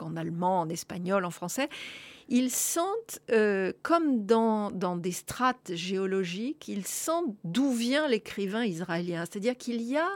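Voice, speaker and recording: Woman, radio host, mid-pitched, thoughtful, dry close mic. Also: no room sound. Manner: thoughtful, explanatory, with hesitations. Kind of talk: radio discussion.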